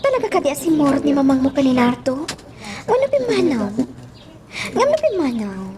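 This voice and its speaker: Emotional high-voiced radio drama woman actress. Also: sing-song, moderate pace. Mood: concerned. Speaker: actor. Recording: very noisy radio drama recording.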